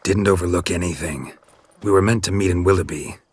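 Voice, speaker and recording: An actor playing a weary, stoic monster hunter in a video game, gruff and gravelly, with terse deadpan delivery. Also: male voice, fairly quick. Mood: dry, guarded. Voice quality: gravelly, rasping low voice. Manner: terse, deadpan, clipped sentences.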